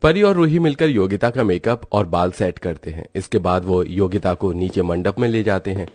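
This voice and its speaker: A man's voice: low voice, darkish tone, no room sound. A man reading scripted storybook narration as the narrator of a tale.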